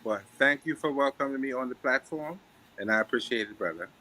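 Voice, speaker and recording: A man, a guest on a live panel, thanking the host, grateful and a little halting. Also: mid-range voice, sharp tone, poor audio.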